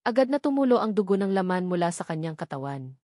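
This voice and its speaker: A woman's voice: on the low side, very animated, quick pace, a little mellow, no room sound, clean studio sound. A woman reading a scripted story as an audiobook narrator.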